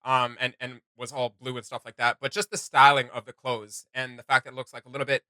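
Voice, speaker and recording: A man's voice: medium pitch, little pitch movement, quick pace, pushed and bright, dry recording. A man hosting a casual fan reaction, chatting in an enthusiastic, teasing, slangy way. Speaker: reaction channel host.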